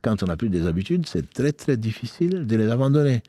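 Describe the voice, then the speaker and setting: A man's voice: mid-range voice, sing-song, brisk, warm tone, no echo. A man giving religious advice on a live call-in show, speaking familiarly to listeners and repeating key phrases. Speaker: imam answering listeners' questions. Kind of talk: live radio question-and-answer on religious practice.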